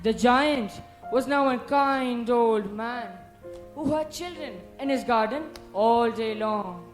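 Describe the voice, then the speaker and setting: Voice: medium pitch, takes it slowly. School play narrator, music behind. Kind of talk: scripted stage narration with a moral.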